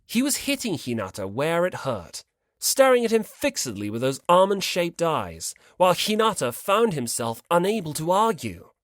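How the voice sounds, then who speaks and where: A man's voice: fairly high pitch, huge pitch swings, moderate pace, no room sound, clean studio sound. A man reading scripted story narration expressively as an audiobook narrator.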